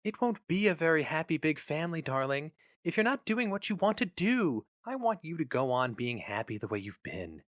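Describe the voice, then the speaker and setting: A man voicing a worried young wife who speaks hesitantly, affectionately and with gentle pleading. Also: fairly high pitch, sing-song, normal speed, few pauses, dry recording, studio quality, pitched above the performer's own voice.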